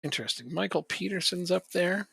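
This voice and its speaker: A man's voice: high-pitched, lively intonation, fairly quick, creaky, a bit bright, breathy edge, no echo. A man chatting casually on a live stream while playing a football management game, rambling and joking and chuckling often.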